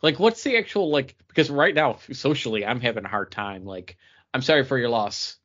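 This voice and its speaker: A man's voice: medium pitch, animated, a little fast, fairly bright tone. A man talking casually as one of the hosts of a chatty podcast. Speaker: podcast host. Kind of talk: casual chat. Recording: slightly dull, lo-fi recording.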